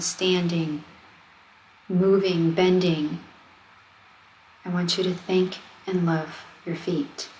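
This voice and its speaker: A woman leading a guided meditation, speaking in a gentle, soothing, conversational way as she gives simple instructions. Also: lowish voice, poor audio. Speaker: meditation guide, wellness coach. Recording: steady noise of ocean waves. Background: ocean wave sounds.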